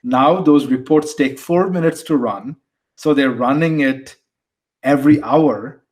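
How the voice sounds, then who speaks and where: A man's voice: mid-range voice, a little slow, darkish tone. A man with an Indian accent, a guest on an interview podcast, explaining things conversationally and often repeating words as he restarts. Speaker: guest, tech executive.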